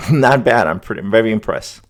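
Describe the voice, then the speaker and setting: A man's voice: on the low side, expressive, fairly quick. Casual man presenting a tech demo. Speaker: host demonstrating an AI agent setup. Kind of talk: casual screen-demo narration.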